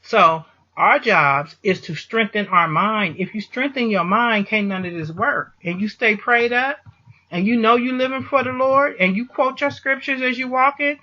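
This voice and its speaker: Male vlogger, high and sharp-edged voice, impassioned religious pep talk, insistent repetition, muffled lo-fi sound. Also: moderate pace. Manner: emphatic, repeats phrases for stress. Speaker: vlogger answering a listener. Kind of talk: impassioned motivational monologue with religious encouragement.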